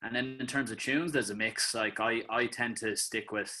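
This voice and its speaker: A man's voice: medium pitch, normal speed. A man with an Irish accent, a musician talking loosely on a video call about his band's music. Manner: relaxed, rambling. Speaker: band member. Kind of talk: casual interview chat.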